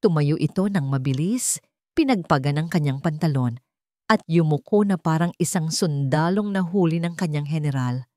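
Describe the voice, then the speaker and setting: A woman's voice: deep voice, animated, normal speed, a little mellow, no room sound, clean studio sound. A woman narrating a dramatic love story with emotive delivery.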